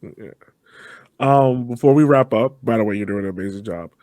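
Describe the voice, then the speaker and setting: A man's voice: fairly low pitch, moderate pace, a little mellow, no room sound. A man speaking casually as the host of a podcast.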